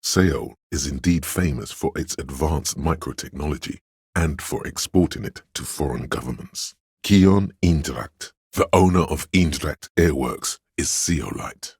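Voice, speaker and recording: A man's voice: a little slow, darkish tone, no room sound, studio quality, heavily acted. A man voicing a pedantic, know-it-all encyclopedic inner voice for a game, with erudite, dryly wry explanatory narration. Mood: dry, informative. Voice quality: very deep, gravelly, husky.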